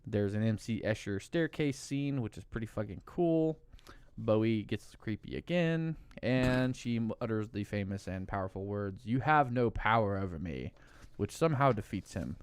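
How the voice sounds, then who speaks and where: A man's voice: on the low side, lively intonation, moderate pace, clean tone, no echo. A man hosting a podcast in a casual comedic style, with an irreverent, sweary, joking manner. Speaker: podcast host. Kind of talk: casual comedic chat, recapping a film.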